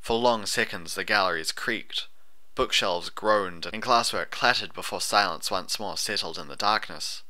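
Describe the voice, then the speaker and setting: A man's voice: lowish voice, moderate pace, pushed and bright. A man reading a fantasy story as a scripted audiobook narration.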